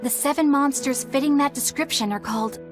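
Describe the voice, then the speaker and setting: An analytical young woman, voiced by an actress, speaks in a fairly high voice. She sounds thoughtful and explanatory, in game cutscene style, over clearly audible music. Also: brisk, close to an everyday voice. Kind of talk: video game cutscene voice acting.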